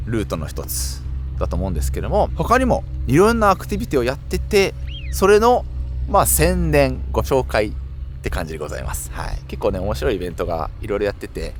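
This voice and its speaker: A man's voice: big pitch swings, fast, faint music behind. A man presenting casually to viewers as a cycling vlogger, explaining things directly in an outdoor recording. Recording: noisy outdoor audio with wind rumble.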